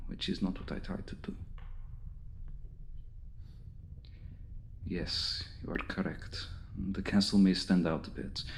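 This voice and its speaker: An ancient male vampire, acted by a man, speaks in a low, creaky, gravel-edged voice. He is seductive and condescending, deliberate in his hypnotic phrasing, often dropping to an intimate murmur. It is an ASMR roleplay with a faint layer of noise behind the voice. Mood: amused, softly menacing, then proud, menacing.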